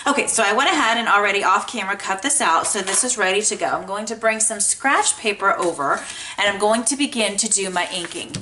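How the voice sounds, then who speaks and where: Female craft tutorial host, mid-pitched and bright, congested with a light scratch, casual and enthusiastic. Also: animated, brisk. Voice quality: a little scratchy. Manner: chatty, enthusiastic. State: congested from a sinus infection. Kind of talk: casual how-to craft demonstration.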